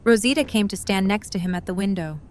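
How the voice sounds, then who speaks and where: A woman's voice: medium pitch, fast talker, a little mellow. A woman reading scripted third-person narration for an audio drama. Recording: faint background ambient noise.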